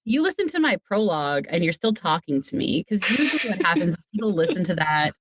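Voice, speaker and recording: A woman's voice: lowish voice, sing-song, fast talker, breathy edge. A woman chatting casually and enthusiastically as a podcast guest who makes audio drama. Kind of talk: casual chat.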